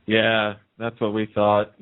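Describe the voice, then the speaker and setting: A man's voice: fairly low pitch, completely flat delivery, takes it slowly, clear, resonant tone. A man chatting casually as a co-host on a radio talk show. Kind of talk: casual chat. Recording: narrow phone-like internet radio line.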